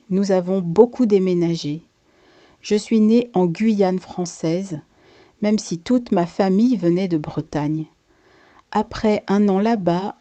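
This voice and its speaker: A woman's voice: on the low side, mellow, dry recording. A woman reading a scripted first-person life story as its narrator.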